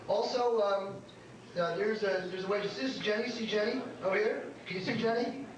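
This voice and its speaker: Recorded from far off in a very echoey club with a crowd, a middle-aged man hosts from the stage. He rambles and jokes informally in a fairly high voice. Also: fairly quick. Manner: rambling, joking. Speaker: show host, comedian. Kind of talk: informal stage introduction to a live audience. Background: audience responses.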